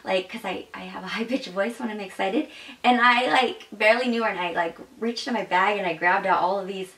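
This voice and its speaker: A woman's voice: mid-range voice, brisk, fairly bright tone, echoey room, far from the mic. Bubbly young woman reviewing makeup. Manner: bubbly and gushing, demonstrating makeup while talking. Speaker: beauty vlogger. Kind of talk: casual product review. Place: home makeup room indoors.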